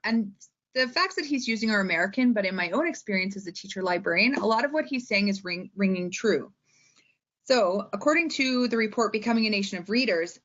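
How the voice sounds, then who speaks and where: Female Canadian presenter, higher-pitched, animated and informal teaching delivery, slightly dull lo-fi sound. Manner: animated, expressive, digressive. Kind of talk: informal instructional talk.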